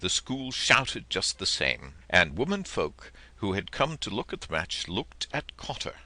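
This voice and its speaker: Male narrator, low pitch, widely swooping intonation, gravelly edge, literary audiobook reading, dramatic delivery. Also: sing-song, unhurried, sharp tone.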